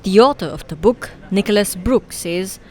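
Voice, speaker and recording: News narrator, mid-pitch, smooth formal read. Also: normal speed. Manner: even, formal. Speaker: news reporter.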